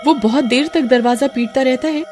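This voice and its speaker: A woman's voice: high-pitched, moderate pace, over music. A woman narrator telling a scripted children's cartoon story.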